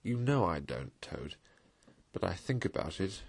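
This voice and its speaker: A man voicing a patient, loyal male water rat, speaking softly and earnestly. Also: deep voice, very animated, measured pace, gravelly, pitched below the performer's own voice, heavily acted. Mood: wistful.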